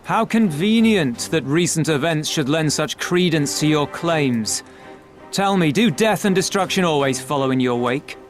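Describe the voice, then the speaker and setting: An actor playing a suspicious, sardonic pirate in a video game, speaking in ornate, formal, mocking phrases. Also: male voice, fairly high pitch, expressive, a little slow. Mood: wry, mocking. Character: sardonic, suspicious male pirate confederate of middle rank. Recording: loud background music.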